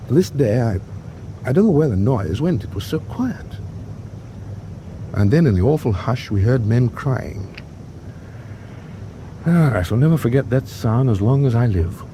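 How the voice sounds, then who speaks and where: A mellow, middle-aged British naval captain in a wartime radio drama. He is reflective and measured, yet his pitch moves expressively through clipped officer phrases. A steady rumble of noise sits behind him. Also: rough recording. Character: weary, reflective male British warship captain, kindly to officers.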